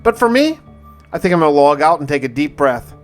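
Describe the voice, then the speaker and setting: A man's voice: on the high side, sing-song, fairly quick, faint music behind. A man hosting a gaming video, telling a dramatic first-person story of his play in a theatrical, in-character way. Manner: theatrical, in-character roleplay delivery with repeated phrases.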